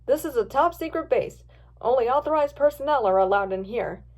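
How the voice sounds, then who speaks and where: A woman's voice: sits high, lively intonation, a little fast, darkish tone. A woman voicing a stubborn male security guard in a read-aloud story, curt, firm and dismissive. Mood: curt refusal.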